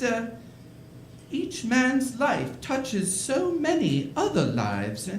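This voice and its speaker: A kindly old angel played by a woman in a vintage-style radio play, speaking high and unhurried on a roomy stage. The delivery is meek and stammering, with big swoops in pitch and faint music behind. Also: slight background noise, exaggerated voice. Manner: hesitant, flustered, stammering. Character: kindly, bumbling elderly angel, meek and flustered. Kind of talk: live stage re-creation of 1940s radio play.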